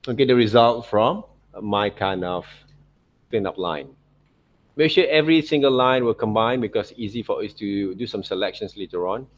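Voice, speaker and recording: Man, lowish pitch, online teacher, informal tutorial narration, non-native loose phrasing, repeated check-in tags. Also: moderate pace. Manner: repeats check words like okay and right.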